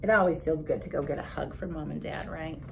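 A woman in her thirties reading a picture book aloud expressively like a teacher, with casual questions to children. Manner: animated storytelling, pausing to ask children questions. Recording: clear background hiss and low rumble.

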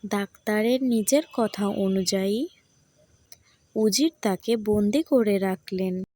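A woman narrating a scripted storybook tale in a measured, deliberate manner. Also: medium pitch, warm tone, no room sound.

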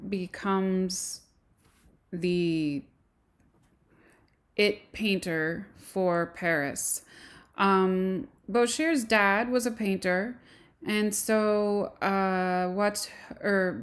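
A woman giving a recorded art history lecture over slides, pausing often with ums. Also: medium pitch. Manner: slow, with frequent ums and pauses.

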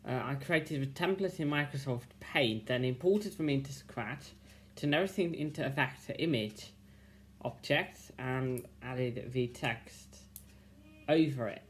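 A young man giving casual, unscripted video commentary in a halting way, thinking aloud. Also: mid-range voice. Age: young adult. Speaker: video creator commenting on viewer feedback. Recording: hissy home recording.

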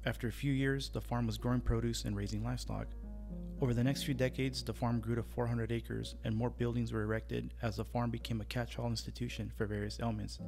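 A man giving scripted documentary narration in a steady, even delivery over background music. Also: medium pitch, gravelly. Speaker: history channel narrator.

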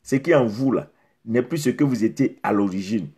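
Husky-voiced man preaching to the camera. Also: fairly low pitch, expressive, brisk, mellow. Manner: exhorting, rhetorical questions, emphatic repetition. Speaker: pastor. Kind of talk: preaching sermon. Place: indoors in front of a beach backdrop.

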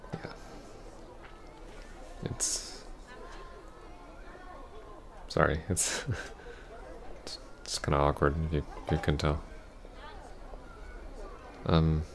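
Very deep, gravelly, hesitant ex-boyfriend played by a man. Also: slight background noise, exaggerated voice. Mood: awkward, nervous. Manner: hesitant, halting, stammering. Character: awkward, apologetic young ex-boyfriend, still hurting. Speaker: ASMR roleplay voice actor. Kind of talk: ASMR roleplay, soft intimate acting.

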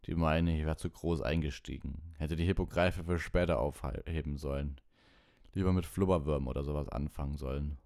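A man's voice: extremely low pitch, steady tone, a little fast, gravelly, darkish tone, no room sound, studio quality. A man voicing a huge, drunk, dejected groundskeeper in a storybook, with a thick, slurred voice. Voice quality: thick, muffled voice.

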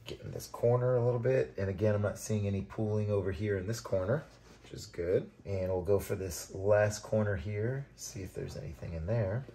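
A man with a deep voice narrates a how-to lesson in an easy, conversational way. His tone is dark and soft rather than bright, and he explains each step plainly while working through the task. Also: a little fast, slight background noise. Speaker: vermicomposting channel host. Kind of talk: hands-on tutorial narration.

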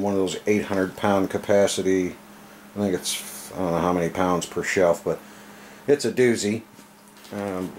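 Low-voiced man, salty and offhand. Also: slight background noise. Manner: salty, folksy, self-deprecating slang. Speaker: video creator giving a room tour. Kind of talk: casual offhand vlog narration. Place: small home gun room, indoors. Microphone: handheld camera, operated by the speaker.

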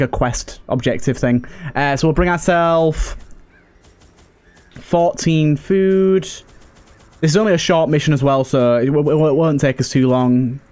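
A man with a fairly high voice hosts a let's play. He talks casually and chattily, thinking aloud through his choices as he goes. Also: darkish tone. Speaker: gaming host.

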